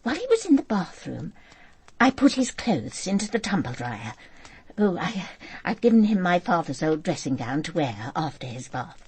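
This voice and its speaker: Radio drama: earnest, polite female witness, very husky voice, lively intonation, slightly hesitant delivery. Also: on the low side, moderate pace, exaggerated voice. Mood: careful, recollecting. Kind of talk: naturalistic radio drama acting.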